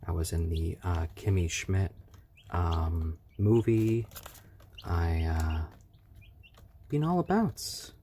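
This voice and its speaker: A man's voice: sits low, lively intonation, slow, long pauses, warm tone, a little hiss or hum. A man hosting a casual live stream, chatting easygoingly with viewers and cooing over his baby chicks at home.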